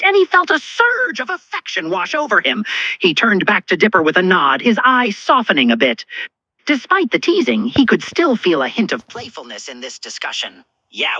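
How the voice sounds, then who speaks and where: A woman's voice: fairly high pitch, bright, forceful tone, no room sound. A woman reading scripted fan fiction narration as a storyteller.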